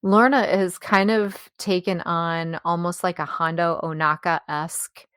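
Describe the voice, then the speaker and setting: A woman with a fairly low voice co-hosts a casual discussion. She sounds agreeable and conversational, adding asides as she goes, in a very dry recording without room sound. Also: fairly even intonation, a little slow, fairly bright tone, clean tone, no room sound. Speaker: podcast co-host.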